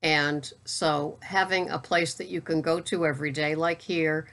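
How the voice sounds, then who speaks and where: A woman in her sixties talking casually to camera as a keto vlogger and coach, in a steady, matter-of-fact voice with wry asides. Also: low-pitched, a little slow. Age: 60s. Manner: steady, matter-of-fact, self-described monotone.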